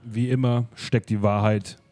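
A man's voice: on the low side, flat delivery, measured pace, mellow, soft background music, lightly acted. Man playing a pompous grand-speaking detective. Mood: grandiose. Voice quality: theatrical, declaiming. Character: pompous theatrical male detective, grand speeches. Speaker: improv performer. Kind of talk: improvised radio play.

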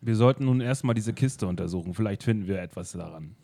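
Deep-voiced, condescending detective, a man in the role, lofty and self-important. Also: fast, vocal fry, mellow. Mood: pompous. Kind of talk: improvised comedy radio play.

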